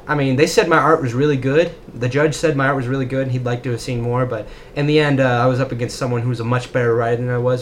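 A man's voice: medium pitch, a bit bright, slight background noise. A young man co-hosting a casual podcast, rambling and joking about himself as he talks. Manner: rambling, self-deprecating, jokey. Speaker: podcast co-host. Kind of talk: casual chat.

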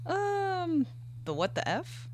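A woman's voice: very high voice, huge pitch swings, slow, a little mellow, slight background noise. A young woman speaking as an interview guest, chatting casually and a little hesitantly. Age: young adult. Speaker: guest, animator and illustrator.

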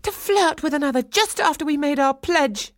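A woman's voice: mid-range voice, steady tone, a little slow, warm tone, breathy edge, no echo, studio quality, voice pushed up. A woman voicing a jealous, heartbroken young bride-to-be in a storybook tale, wounded and dramatic. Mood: accusing, anguished.

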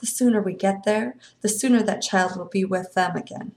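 A courteous, sinister stranger in a yellow suit as a woman narrator puts him on. He speaks with silky, patient politeness that turns tight with impatience. Gravelly texture and a roomy echo. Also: mid-range voice, normal speed, close to an everyday voice. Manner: smooth, polite, quietly impatient.

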